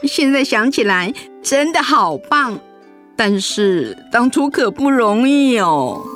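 Emotional, high-voiced Taiwanese wife. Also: female voice, very animated, takes it slowly, fairly bright tone, faint music behind, exaggerated voice. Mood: surprised, reflective. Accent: Taiwanese Mandarin. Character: retired grandmother, affectionate, emotional breast cancer survivor. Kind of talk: radio drama acting.

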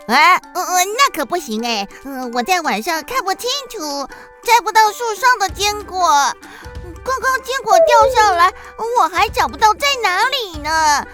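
A cute, busy little squirrel in a children's radio drama. The voice is squeaky and bright, fretful and a bit stammering, over faint music. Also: female voice, very animated, moderate pace, exaggerated voice. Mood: apologetic.

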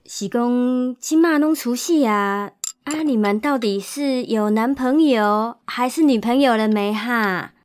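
A woman as a high-voiced nosy Taiwanese mom. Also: lightly acted. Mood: scolding, prying. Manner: mixes Mandarin with Taiwanese Hokkien, rapid questioning. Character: nosy, excitable middle-aged mother of two. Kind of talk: comedic audio drama acting.

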